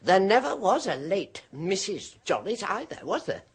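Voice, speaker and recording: An actress playing a knowing, mocking woman in a radio drama, speaking in a slow, drawn-out manner. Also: medium pitch, sing-song, breathy edge, exaggerated voice. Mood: sly. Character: knowing middle-aged woman, sister of a medium, sly and mocking. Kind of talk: 1970s radio drama acting.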